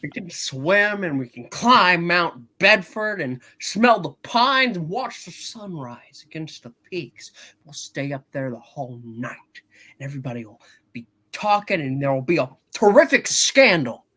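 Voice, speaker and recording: A man plays an eager young small-town dreamer in a radio play. His bright voice takes its time and swings widely in pitch, earnest and theatrical. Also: very animated, measured pace, heavily acted. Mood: dreamy, restless. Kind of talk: hammed-up live radio play reading.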